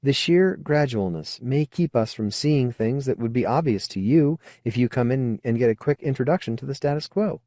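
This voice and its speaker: A man's voice: medium pitch, lively intonation, normal speed, few pauses, mellow, no room sound, clean studio sound, pitched above the performer's own voice, close to an everyday voice. A man voicing a frank, earnest, coaxing woman in an audiobook. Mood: pleading, persuasive. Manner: earnest, coaxing, plain-spoken. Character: frank, persuasive woman colonist, old friend. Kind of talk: audiobook character dialogue.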